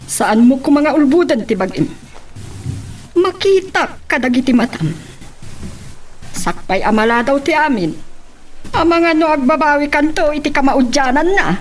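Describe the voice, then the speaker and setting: Concerned mother with a raised pitch. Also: animated, normal speed, pauses often. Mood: concerned, probing. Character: concerned, probing mother of a grown son. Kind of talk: Ilocano radio drama acting.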